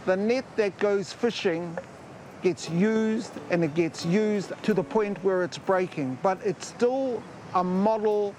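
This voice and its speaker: An older man with a Māori New Zealand English accent, an elder speaking in a measured, ceremonial way. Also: high voice, darkish tone, slight background noise.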